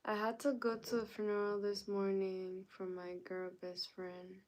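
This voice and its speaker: A woman's voice: medium pitch, completely flat delivery, normal speed, a little mellow. A young woman from Canada hosting a casual live stream, greeting viewers by name and answering their chat comments. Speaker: livestreamer.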